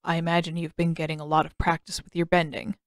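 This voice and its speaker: A woman voicing a calm, kindly older warrior man in an audio story, unhurried and avuncular. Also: sits low, dry recording, studio quality, voice pushed down, lightly acted. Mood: interested.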